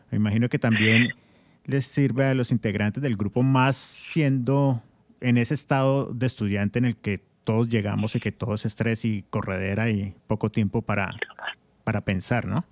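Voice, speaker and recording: A man hosting a radio interview, relaxed and hesitant, thinking aloud with fillers. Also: on the low side, no echo. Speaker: radio host. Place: radio studio.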